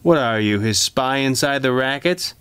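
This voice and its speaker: A man voicing a young undercover policeman in a crime story, brash and defiant, with sharp, challenging retorts. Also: mid-range voice, expressive, normal speed, clear, resonant tone, dry recording, voice pushed up, lightly acted.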